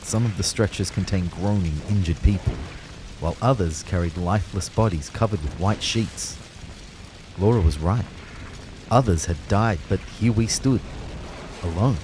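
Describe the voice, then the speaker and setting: Audio drama lead: male actor as bewildered dead man telling his own story, lowish pitch, brooding and measured, over rain-like background noise. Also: lightly acted. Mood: somber. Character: Kyle, bewildered young male ghost narrating his afterlife. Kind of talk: first-person scripted audio drama narration with dialogue.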